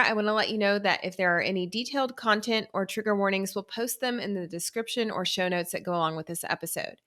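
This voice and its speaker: Upbeat, bright woman podcast host. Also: medium pitch. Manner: upbeat, enthusiastic. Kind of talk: scripted podcast intro and interview.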